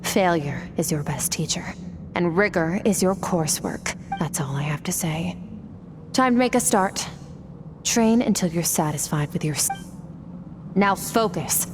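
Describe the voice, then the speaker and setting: A woman voicing a calm, exacting swordmaster who firmly instructs her student in a game. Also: lowish voice, unhurried, noisy background, lightly acted. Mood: stern, instructive. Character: stern swordmaster mentor woman, cool and exacting. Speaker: sword teacher. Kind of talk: game voice acting. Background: game music.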